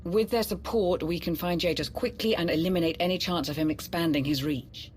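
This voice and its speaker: A woman's voice: on the low side, a little fast, darkish tone, slight background noise, close to an everyday voice. A woman playing a cautious, formal military adviser in a scripted video game, pointed in her questioning. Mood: worried, insistent.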